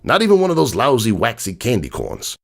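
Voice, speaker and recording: A man playing a gruff, world-weary, streetwise stallion who tells a bedtime story in slangy, grumbling and wistful tones, as a dramatic reading. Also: mid-range voice, wildly swooping pitch, brisk, exaggerated voice. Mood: exasperated.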